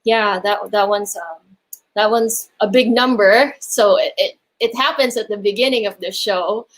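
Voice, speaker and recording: A woman who grew up in the Philippines and Hong Kong speaks as an interview guest. Her voice sits at a medium pitch. She talks about her stage work in an appreciative, conversational way. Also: measured pace, rough recording. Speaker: musical theatre performer, interview guest. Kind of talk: casual interview chat.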